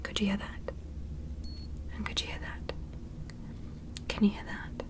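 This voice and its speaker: A woman voicing a gentle, curious alien medical examiner in an ASMR roleplay, whispering softly and giving careful, soothing instructions. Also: unhurried, lightly acted. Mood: calm, curious. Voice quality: soft breathy whisper.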